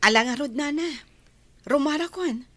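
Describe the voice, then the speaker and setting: Woman acting a brisk, higher-voiced lady doctor. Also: fairly bright tone, no room sound. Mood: easygoing, brisk. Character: brisk, easygoing woman doctor, employer of the maid. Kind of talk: Ilocano radio drama acting.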